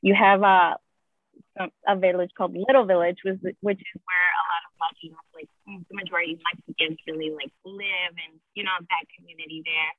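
A woman's voice: fairly low pitch, little pitch movement, a little fast, no room sound. A woman whose first language is Spanish, a guest nurse chatting casually with lots of fillers. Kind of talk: casual conversation. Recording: tinny phone-like call audio, dry.

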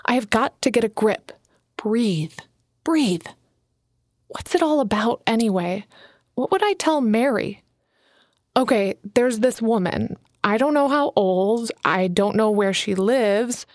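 A woman's voice: a little high, lively intonation, a little slow, darkish tone, no room sound, clean studio sound. A woman reading an audiobook, voicing an eager, earnest young woman writer who pleads and bristles. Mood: anxious. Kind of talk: audiobook character voice.

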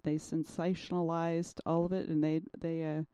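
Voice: medium pitch, flat delivery, moderate pace, dark tone, clean tone, dry recording. A podcast co-host in casual chat, blunt and skeptical.

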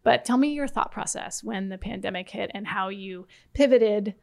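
Woman, co-host, gravelly creaky voice, mid pitch, rambling questions. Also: moderate pace, a little mellow. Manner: rambling, rephrasing questions as she goes. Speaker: podcast co-host. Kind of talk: casual interview conversation.

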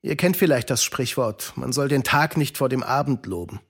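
A man's voice: mid-range voice, animated, normal speed, breathy edge. A man acting a kindly, devout uncle in a German children's radio play, earnest and shaken. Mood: earnest, steadfast.

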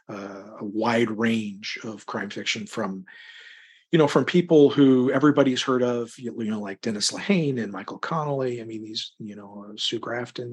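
Middle-aged male author as interview guest, slightly deep and husky, conversational, loosely rambling delivery. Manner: rambling, thinking aloud in broken phrases. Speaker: interview guest, crime novelist. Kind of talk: casual conversational interview answers.

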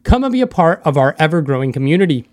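A man with a slightly high voice works as a podcast host. He talks casually and directly, in the style of an interview show. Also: big pitch swings, fast, background noise. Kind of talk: podcast interview with scripted-sounding intro.

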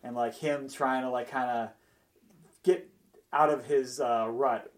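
Dryly humorous man, mid-pitched voice. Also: fairly even intonation, measured pace. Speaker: podcast commentator. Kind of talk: casual anecdotal chat.

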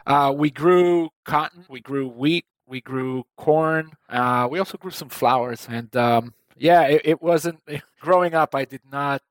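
A man's voice: a little high, unhurried, hardly any pauses, dry recording. A man in his forties from Israel, chatting as an interview guest, often laughing and repeating words as he restarts his sentences. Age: 40s. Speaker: guest, tech company founder. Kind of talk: casual interview conversation.

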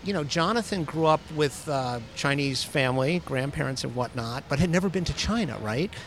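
A man's voice: fairly high pitch, animated, brisk, fairly bright tone, a little hiss or hum. A man hosting a casual interview, chatty and prone to storytelling with fillers. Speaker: podcast host.

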